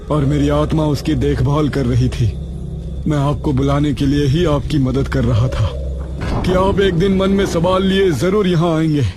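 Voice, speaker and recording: A man voicing the ghost of a loyal male tantric sorcerer, respectful and solemn, in an animated fantasy story. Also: fairly high pitch, natural voice.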